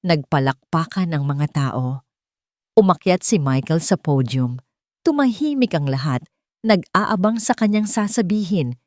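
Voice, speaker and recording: A woman's voice: fairly low pitch, lively intonation, unhurried, darkish tone, no echo, studio quality. A woman with a Filipino accent reading a dramatic love story as a scripted narration.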